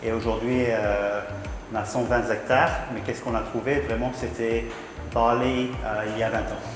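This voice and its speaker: Man, french, halting, fillers, very echoey room, clear background music. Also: medium pitch, little pitch movement. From England. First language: English. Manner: hesitant, many fillers and restarts. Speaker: project founder, guest. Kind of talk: casual interview.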